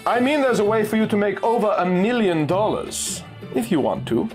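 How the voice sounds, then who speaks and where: A man's voice: sits high, very animated, moderate pace, darkish tone, faint music behind, voice pushed up. A man voicing a cool, scheming middle-aged Austrian geologist in a pulp adventure story, speaking with casual persuasion. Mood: serious, coaxing.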